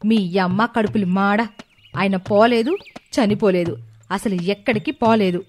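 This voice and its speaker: Woman playing a plain-spoken village wife in a cartoon; lively, scolding delivery. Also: sits high, moderate pace. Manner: scolding, exasperated. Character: sharp-tongued village wife, adult woman.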